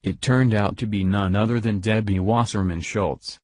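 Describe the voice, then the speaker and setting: Low-voiced man narrating political news. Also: steady tone, normal speed, a little mellow, dry recording.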